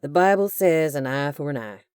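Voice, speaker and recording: A woman voicing a cruel, volatile male villain who taunts slowly and then bursts into screams. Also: a bass voice, a little mellow, no echo, studio quality. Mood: taunting. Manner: slow, taunting, erupting into screams.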